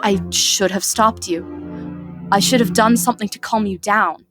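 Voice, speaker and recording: A woman's voice: medium pitch, steady tone, normal speed, over loud music, natural voice. A woman voicing a motherly, guilt-ridden goat monster queen with formal, heartfelt diction, in a dramatic comic dub. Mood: regretful.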